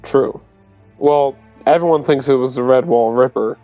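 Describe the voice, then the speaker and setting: A man's voice: mid-range voice, moderate pace, mellow, rough recording. A man playing a tabletop roleplaying game, talking in a casual, joking roleplay style. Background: music.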